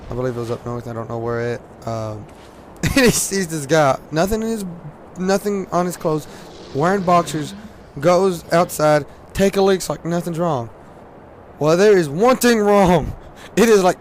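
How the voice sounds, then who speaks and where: A hoarse teenage man from Louisiana, rambling casually with lots of fillers and laughs while commenting on a game at a desk mic. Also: fairly high pitch, a little hiss or hum. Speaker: gaming commentator.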